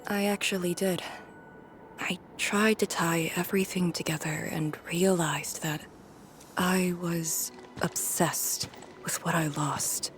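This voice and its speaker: An actress voicing a melancholy, quietly resolute young woman in a game, breathy, subdued and reflective. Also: lowish voice, fairly even intonation, slow pace, slight background noise. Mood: wistful, reflective. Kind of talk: scripted game voice acting. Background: prominent music.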